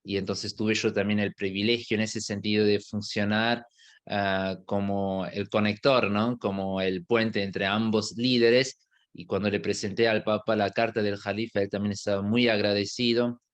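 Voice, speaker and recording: Low-voiced man, foreign-accented Spanish. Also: little pitch movement, normal speed. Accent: non-native Spanish, partly Argentine. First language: not Spanish. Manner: reflective, many hesitation fillers. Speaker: imam and interviewee. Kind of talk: casual interview answer.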